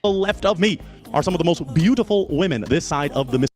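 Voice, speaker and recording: A man's voice: fairly high pitch, animated, a little fast, soft background music. A man presenting as a dating show host, in an emphatic, flowery, ceremonious showman style.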